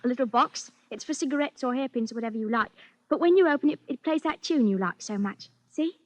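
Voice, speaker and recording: An actress playing a plaintive, affectionate, nagging young English wife, chatty and quick to protest, in 1940s radio drama style. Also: female voice, sits high, a little hiss or hum, close to an everyday voice. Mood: shy, eager. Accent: British. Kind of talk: 1940s radio suspense acting.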